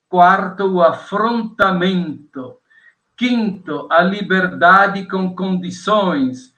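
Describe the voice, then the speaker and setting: High bright-voiced Italian-accented man. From Italy. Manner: measured, lists points one by one. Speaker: guest professor of theology. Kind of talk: lecture-like explanation on a podcast.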